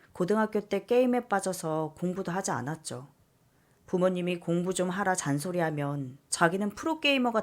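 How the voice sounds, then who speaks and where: Calm woman reading a story aloud. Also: lowish voice. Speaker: story reader. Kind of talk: scripted narration of a listener's story.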